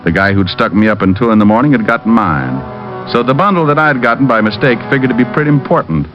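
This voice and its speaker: A man's voice: mid-range voice, expressive, fast talker, close to an everyday voice. An American actor playing a witty, wry private detective in an old-time radio drama, narrating his own case. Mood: wry narration. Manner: wry, sardonic. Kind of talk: 1940s-50s radio detective acting. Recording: dull old radio recording, background music.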